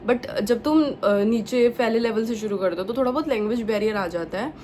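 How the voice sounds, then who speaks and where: A woman's voice: a little high, brisk, darkish tone, a little hiss or hum. Chatty young woman mixing Hindi and English. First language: Punjabi. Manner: chatty, mixes English words into Hindi, many fillers. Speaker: vlogger. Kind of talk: casual chat.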